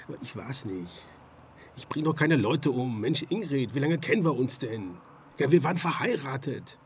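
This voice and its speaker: A man acting in a German radio drama as a harried, evasive murder suspect, speaking in a halting, colloquial way. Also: mid-range voice, lively intonation, slight background noise, lightly acted. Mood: pleading. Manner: halting, colloquial, stammering and trailing off.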